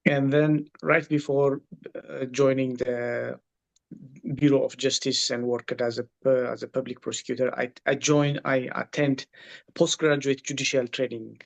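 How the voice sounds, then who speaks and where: Gravelly man. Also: mid-range voice, flat delivery, a little slow. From Ethiopia. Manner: hesitant, with many uhs and repeats. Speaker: workshop participant, lawyer. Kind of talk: casual chat.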